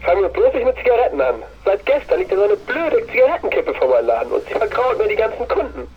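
Man acting gruff shopkeeper on muffled phone. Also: sits high, sing-song, fast. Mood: indignant. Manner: blunt, grumbling, sarcastic. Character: gruff, easily outraged male newsagent. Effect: telephone filter. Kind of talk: German fan audio drama acting. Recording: muffled telephone sound with faint hiss.

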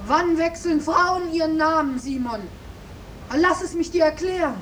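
An actress playing an earnest, plain-spoken young peasant maid in a 1950s German radio drama. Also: female voice, extremely high pitch, fairly even intonation, a little slow, a bit bright. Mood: anxious, pleading. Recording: faintly hissy, distant 1950s radio recording.

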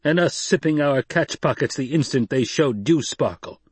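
Hot-headed desert fighter, growling and snapping, pitched up and husky, done by a male reader. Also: moderate pace, no room sound. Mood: angry. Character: angry volatile male desert warrior, loud and grudging.

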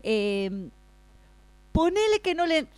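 A woman's voice: sits high, big pitch swings, slow, darkish tone, no room sound, exaggerated voice. A woman playing an insistent, argumentative mother in improvised radio theatre, speaking Rioplatense Spanish, repeating herself, cutting in and stammering. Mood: nervous, pleading. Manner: repetitive, hectoring, interrupting, stammering when flustered. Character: insistent, argumentative mother defending her schoolboy son.

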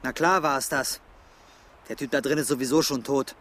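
A man's voice: medium pitch, fairly even intonation, moderate pace, a bit bright, lightly acted. An actor playing a foul-mouthed, angry man making threats in a crime radio drama. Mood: menacing. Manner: gruff, threatening. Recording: faint outdoor vehicle noise.

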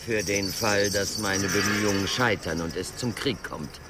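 An actor playing a grave, authoritative ruler in a fantasy radio drama, speaking in a measured, portentous and commanding manner. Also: male voice, lowish voice, very noisy, close to an everyday voice. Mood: grave, foreboding. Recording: loud music under the voice.

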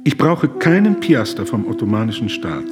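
A man's voice: lowish voice, big pitch swings, normal speed, music in the background. An actor in a radio drama playing an impassioned German humanitarian who pleads earnestly and formally with a man in power. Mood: determined pleading.

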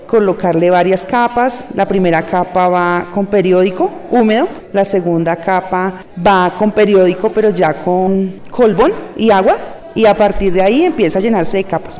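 A woman's voice: mid-range voice, mellow. A woman with a Colombian accent, explaining a craft step by step in an interview. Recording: long hall-like reverb.

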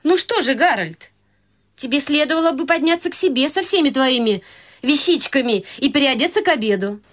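A woman's voice: sits high, expressive, brisk, fairly bright tone. An actress playing a fussy, bossy wealthy widowed mother in a radio play.

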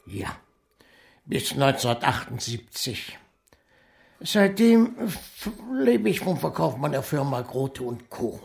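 An elderly retired estate agent for a radio play. His voice is gravelly and he speaks very slowly, hesitating as he reflects. He sounds lonely and burdened with old guilt. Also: mid-range voice, sing-song, full character voice. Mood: polite, frail. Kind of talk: radio drama acting.

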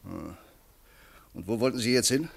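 An actor playing a military commandant in a radio play, a gruff but amused interrogator with a curt, commanding manner. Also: male voice, mid-range voice, lively intonation, normal speed. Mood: questioning.

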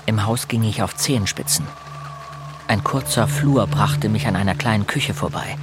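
A man's voice: lowish voice, normal speed, over loud music, lightly acted. A man playing the first-person narrator of a radio play, telling a boy's grim story plainly and observantly. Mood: somber, reflective.

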